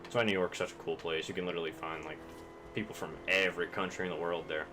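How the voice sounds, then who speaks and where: Deep-voiced laid-back streamer guy. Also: fairly even intonation, quick pace, background noise. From Kentucky, US. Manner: rambling, profane, laid-back. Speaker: game streamer. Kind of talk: casual chat while streaming a racing game.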